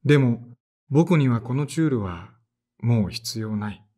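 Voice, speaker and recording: A man's voice: mid-range voice, lively intonation, unhurried, mellow. An actor in his thirties playing a tired, sighing man who narrates his own story in an audio drama. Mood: weary. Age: 30s. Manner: sighing, tired, self-deprecating. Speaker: narrator and lead character.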